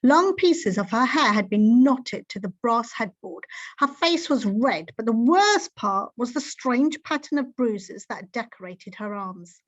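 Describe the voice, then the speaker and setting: A woman reading a children's book aloud in an expressive storytelling style, on a slightly dull recording. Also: on the high side, measured pace.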